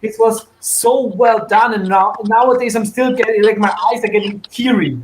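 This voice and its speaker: A man chatting casually and giving opinions on a podcast panel, halting as he searches for his words. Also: sits high, echoey room, noisy background.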